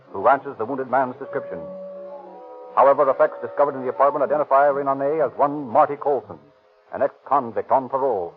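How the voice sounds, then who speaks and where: A man narrates a 1930s crime drama in a measured, matter-of-fact voice. It sounds like a tinny old radio broadcast with faint music underneath. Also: mid-range voice.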